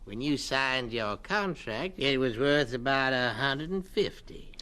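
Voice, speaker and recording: Slow-talking, calculating businessman. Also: mid-range voice, animated, pushed and bright. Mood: sly, persuasive. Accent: American. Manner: smooth-talking, calculating, pressuring. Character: shrewd, grasping, coolly menacing male property owner. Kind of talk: radio drama acting.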